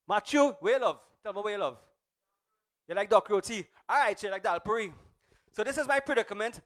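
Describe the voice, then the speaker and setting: A man's voice: high-pitched, a bit bright. A man, a singer hosting his livestream set, rallying the audience with upbeat repeated calls like a party hype man. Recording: music playing under the voice.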